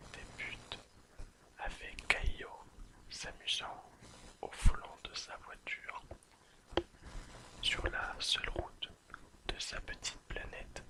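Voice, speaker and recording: Casting: ASMR reader, whispers throughout, very slow and soothing delivery. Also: bright, forceful tone, poor audio. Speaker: ASMR creator. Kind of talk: whispered ASMR reading of an episode summary.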